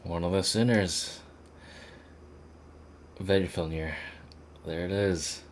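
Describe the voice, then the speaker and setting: Deep-voiced male game streamer. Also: fairly even intonation, takes it slowly, a little hiss or hum. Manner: casual, swearing, reactive.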